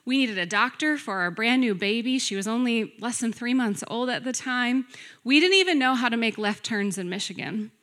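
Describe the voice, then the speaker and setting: A middle-aged woman giving a sermon as a guest preacher, earnest and deliberate, laying out lists with emphasis. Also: fairly high pitch, animated, a bit bright. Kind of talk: sermon, personal teaching to a congregation.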